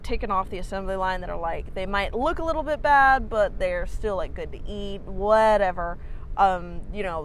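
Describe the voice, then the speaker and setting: A woman's voice: medium pitch, a little hiss or hum, rough recording. A young woman co-hosting a casual podcast, a little congested, rambling with many filler words. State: slightly congested. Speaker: podcast co-host. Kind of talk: casual chat.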